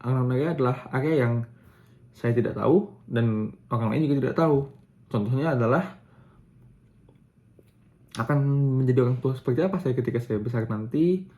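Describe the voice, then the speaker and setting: A young man giving a casual explanatory lesson, pausing with fillers and correcting himself as he goes. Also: medium pitch, warm tone. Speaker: student presenter.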